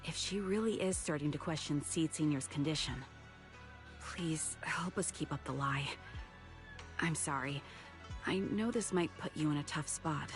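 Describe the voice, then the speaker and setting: Serious polite anime game soldier girl, voice a bit low, bit of music behind. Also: measured pace. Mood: earnest, apologetic.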